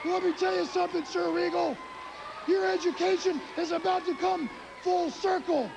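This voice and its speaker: Gravelly high-voiced man cutting a promo. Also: fairly even intonation, normal speed, lo-fi sound. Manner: theatrical, insulting, emphatic. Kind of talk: shouted wrestling promo. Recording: archival TV audio with a loud crowd. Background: arena crowd noise.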